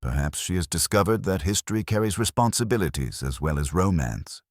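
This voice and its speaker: Disapproving male lawyer, late forties read by a man, measured, formal, very low and husky, mystery story. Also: expressive, dry recording, studio quality. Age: 40s. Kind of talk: scripted mystery story dialogue.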